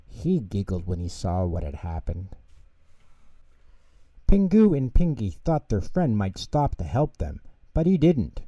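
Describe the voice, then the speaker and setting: Dark, mellow-voiced man reading bedtime stories. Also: lowish voice, sing-song, measured pace, pauses often, no echo. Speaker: storyteller, narrator. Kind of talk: expressive children's bedtime story narration. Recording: dull, lo-fi close recording.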